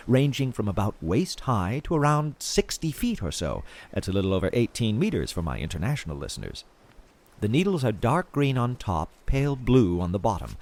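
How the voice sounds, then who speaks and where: A man's voice: fairly low pitch, darkish tone. A man playing an obsessive, chatty podcaster who confides in his listeners about his treasure-hunting expedition in an audio drama. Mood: musing, confiding. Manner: theatrical, confiding, addresses listeners directly. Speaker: podcast host in audio fiction. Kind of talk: audio fiction monologue as a podcast host.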